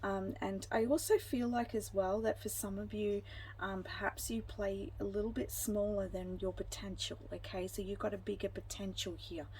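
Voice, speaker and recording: A woman reads tarot for her viewers in a gentle, reassuring way, her pitch sitting in the middle for a woman. She wanders through her thoughts with many ums and restarts. A faint hiss of background noise sits under her voice. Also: little pitch movement, normal speed, low-quality recording. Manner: meandering, frequent ums and restarts. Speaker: tarot card reader. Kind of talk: intuitive pick-a-card reading.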